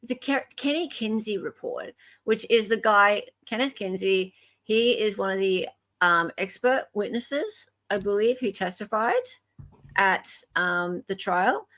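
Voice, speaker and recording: A woman with an Australian accent hosting a live show with casual unscripted commentary, thinking aloud in a halting way. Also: medium pitch, a bit bright.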